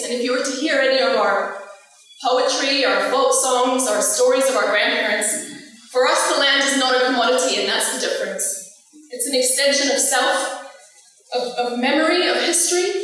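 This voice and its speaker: A woman from Palestine gives a prepared speech at a lecture, with a measured and impassioned delivery. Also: high-pitched, fairly bright tone. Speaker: guest speaker. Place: large lecture hall. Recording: echoey hall recorded from far off.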